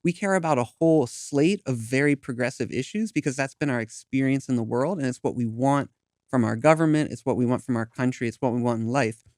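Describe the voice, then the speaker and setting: A man's voice: mid-range voice, brisk, darkish tone, dry recording, clean studio sound. A man in his thirties, an activist guest in an interview, speaking earnestly and emphatically with some stumbles. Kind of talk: impassioned interview talk.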